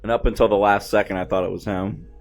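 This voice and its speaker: A man's voice: fairly low pitch, little pitch movement, quick pace, creaky, a little mellow, dry recording. A man giving casual, enthusiastic, sweary reaction commentary on a film as its host. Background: movie music.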